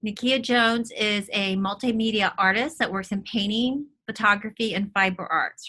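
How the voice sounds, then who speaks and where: Female host, bright and crisp, polished scripted welcome, speaking over a video call. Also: medium pitch. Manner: polished, welcoming, reads a prepared intro. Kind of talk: scripted introduction and interview.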